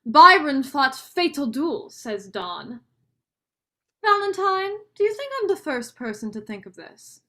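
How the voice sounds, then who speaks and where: A young woman student actor playing a bright, outspoken, romantic young woman in a staged play reading. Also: high voice, very animated, measured pace, heavily acted. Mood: eager, musing. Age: young adult.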